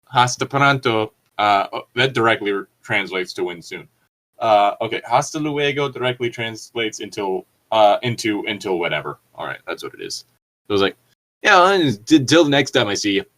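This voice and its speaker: A man's voice: lowish voice, expressive, moderate pace, a bit bright. A man co-hosting a comedic reading, chatting casually with rambling explanations and some mild swearing. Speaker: co-host reading and riffing on fan fiction.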